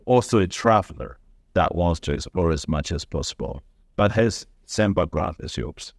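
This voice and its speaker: A low-voiced man hosts an interview show in a friendly, upbeat manner and laughs easily. He asks long, searching questions. The sound is dry and close, with no room echo. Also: animated, normal speed, vocal fry. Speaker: host. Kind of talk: casual interview hosting.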